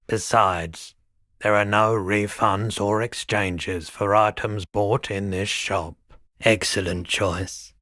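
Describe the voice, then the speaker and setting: An actor playing a laid-back, eccentric pawnshop man in a video game, quietly earnest, with an unhurried, musing, understated delivery. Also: lowish voice, dry recording, clean studio sound. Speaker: video game shopkeeper character. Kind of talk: video game character dialogue.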